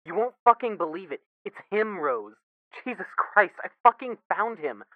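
A man's voice: high voice, sing-song, normal speed, no echo. A man playing an emotional, foul-mouthed young brother in a fan fiction audio drama, stammering and blurting over a phone filter. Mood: overwhelmed joy. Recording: thin phone-like band, dry.

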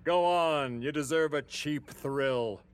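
An actor playing a slick, two-faced, breezily dismissive office boss in a comedic audio drama, full of false encouragement. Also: male voice, fairly high pitch, slow, smooth. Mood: glib praise.

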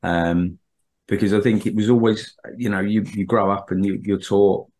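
Deep-voiced man, throaty with a cold. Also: steady tone, moderate pace, darkish tone. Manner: matter-of-fact, hesitant with ums and repeats. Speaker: podcast co-host. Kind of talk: casual group discussion.

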